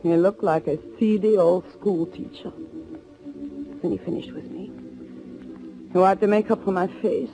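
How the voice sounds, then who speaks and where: An actress playing a bereaved, steely young bride bent on revenge, with dramatic, measured delivery in 1940s radio thriller style. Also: female voice, fairly low pitch, music in the background. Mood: somber. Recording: noisy old 1940s radio recording.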